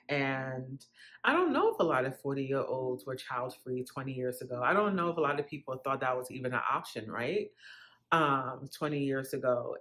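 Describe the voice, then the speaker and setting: A woman's voice: deep voice, a bit bright. Candid, reflective woman in her late thirties. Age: late 30s. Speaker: vlogger. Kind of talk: candid casual chat to camera.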